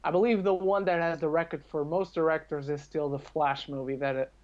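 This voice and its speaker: Higher-voiced man, dull lo-fi recording. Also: fairly even intonation, brisk. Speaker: podcast guest. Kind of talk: casual opinionated chat about films. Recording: dull lo-fi call-like audio.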